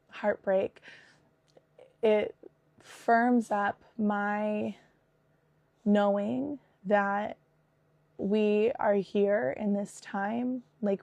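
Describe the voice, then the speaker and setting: A woman in her thirties with a cold, hosting her podcast with an earnest, animated manner and a hoarse, raspy voice. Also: mid-range voice, no echo. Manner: earnest, animated, with frequent fillers and restarts. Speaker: podcast host and spiritual business coach. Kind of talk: casual spoken monologue.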